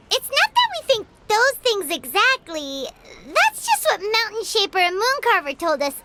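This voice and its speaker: A woman voicing a small, cheeky, childlike fairy companion in a video game, squeaky and chirpy, speaking bluntly and excitably. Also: heavy background noise, heavily acted. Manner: speaks of herself in third person, blunt, chirpy. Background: music.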